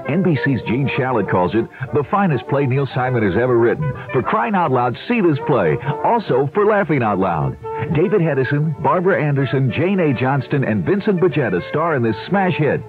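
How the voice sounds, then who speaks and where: A man's voice: medium pitch, big pitch swings, moderate pace. An American man announcing a scripted radio commercial. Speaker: advertisement announcer. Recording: tinny radio with prominent music bed. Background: music.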